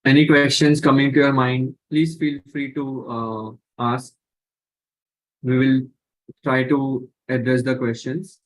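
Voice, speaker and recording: A man's voice: medium pitch, flat delivery, takes it slowly, poor audio. A man with an Indian accent, politely moderating an online webinar as its host.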